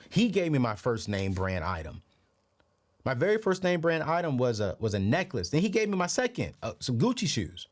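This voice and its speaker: A man in his late thirties hosting a personal finance show, giving a motivational talk with a preachy, energetic delivery. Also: mid-range voice. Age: 30s. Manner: preachy, energetic, repeats phrases. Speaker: podcast host.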